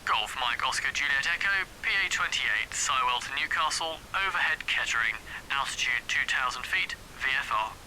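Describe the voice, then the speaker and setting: A man playing a calm civilian pilot making clipped, businesslike radio calls in a training demonstration. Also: medium pitch, normal speed, hardly any pauses. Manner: clipped, businesslike, matter-of-fact. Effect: aircraft radio transmission. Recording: hissy, noisy, harsh radio link.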